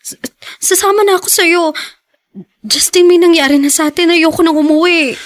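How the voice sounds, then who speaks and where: A woman acting a tearful, stubborn teenage high-school girl in love, whiny and pleading, in a radio drama. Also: normal speed. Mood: tearful, insistent.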